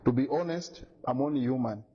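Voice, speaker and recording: Man, husky low-toned voice, insistent and aggrieved, muffled lo-fi audio. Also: a little fast. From Zambia. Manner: indignant, insistent, repeats points. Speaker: businessman making a complaint. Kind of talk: emphatic accusatory statement to media. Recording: dull lo-fi recording.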